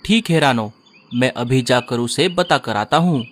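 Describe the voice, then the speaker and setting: A man voicing a caring male sparrow husband who speaks gently and reassuringly in a cartoon. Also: medium pitch, animated, unhurried, slight background noise, natural voice.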